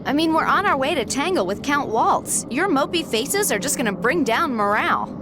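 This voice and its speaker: A woman's voice: high-pitched, lively intonation, moderate pace, noisy background. A woman playing a confident, teasing, no-nonsense young woman in a video game, frank and casual in manner. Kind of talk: animated video game voice acting.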